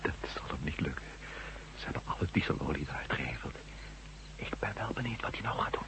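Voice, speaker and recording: A man's voice: a bass voice, sing-song, fast, bright, forceful tone, whispered, full character voice, rough recording. A man acting a level-headed, inquisitive reporter and former astronaut in a Dutch radio drama. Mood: hushed, cautious.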